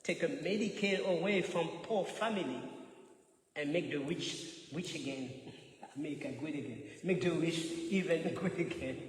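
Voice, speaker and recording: A man with a French accent giving a temple scripture class, joking and laughing often and repeating words as he searches for them. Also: fairly high pitch. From France. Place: temple hall. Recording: echoey hall, mic from far off, faint noise.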